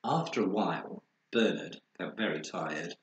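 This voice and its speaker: A man reading a children's story aloud expressively, with dramatic pauses and acted sound words. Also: sits low, animated. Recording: roomy echo, faint background noise.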